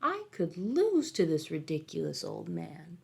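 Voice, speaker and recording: A woman's voice: on the low side, wildly swooping pitch, measured pace, vocal fry, warm tone, slightly rough, exaggerated voice. A woman voicing a selfish, wicked young man in a storybook, speaking curtly.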